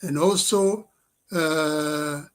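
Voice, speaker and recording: An older man whose first language is French gives a slow, deliberate address, repeating his points for emphasis. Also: on the high side. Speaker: scholar and activist addressing leaders. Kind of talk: livestream address.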